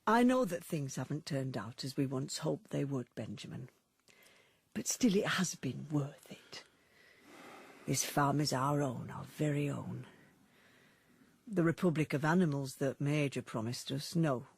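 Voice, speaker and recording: Husky, creaky old farm animal. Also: female voice, a bass voice, lively intonation, darkish tone, no echo, heavily acted. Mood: weary resignation. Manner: earnest, halting, fading to whispers. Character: weary, aged, faithful farm animal clinging to hope. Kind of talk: radio drama acting.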